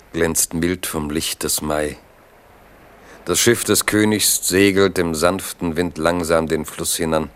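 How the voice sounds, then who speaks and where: A man narrating a historical chronicle in a literary, measured and vivid radio drama style. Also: sits low, clear, resonant tone. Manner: measured, vivid present-tense telling.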